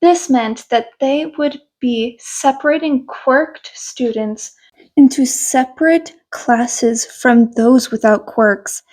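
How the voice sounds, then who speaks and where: A woman's voice: high-pitched, slow, a little mellow, rough recording. A woman reading a scripted story aloud as an amateur audiobook narrator.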